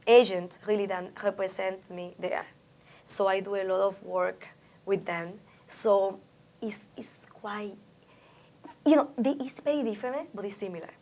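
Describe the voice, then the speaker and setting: A woman from the Dominican Republic with a Dominican Spanish accent, a Spanish speaker being interviewed about her art, reflective and often restarting her sentences. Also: medium pitch. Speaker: artist interviewee. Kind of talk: oral history interview.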